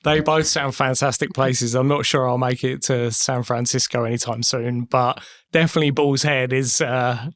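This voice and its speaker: Friendly male podcast host, mid pitch. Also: fairly even intonation, normal speed, hardly any pauses, a bit bright. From United Kingdom. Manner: friendly, encouraging, laughs along. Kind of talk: casual podcast interview.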